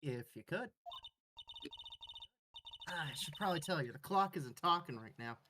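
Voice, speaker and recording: Game fandub role: woman as soft-spoken, apologetic caller, distant phone recording with clear noise. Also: deep voice, lively intonation, normal speed. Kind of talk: video game fandub acting.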